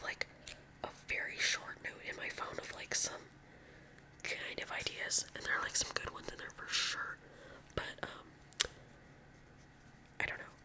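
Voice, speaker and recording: A young woman from California with a Californian accent, whispering ASMR chit-chat in a rambling, chatty way full of filler words while she does her nails. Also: rough recording. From California, USA. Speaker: ASMR creator.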